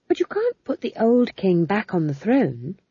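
A woman's voice: medium pitch, wildly swooping pitch, measured pace, warm tone, slightly rough, dry recording, lo-fi sound, pitched above the performer's own voice, heavily acted. A woman voicing an earnest, insistent young witch, reading for an audiobook. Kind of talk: audiobook character voice.

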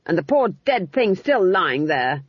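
A woman voicing a bossy, indignant old witch for a storybook, with a creaky, husky voice and a blunt, snappish delivery, in a dull recording. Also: lowish voice, sing-song, measured pace, dry recording, pitched above the performer's own voice, full character voice. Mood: outraged. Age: older.

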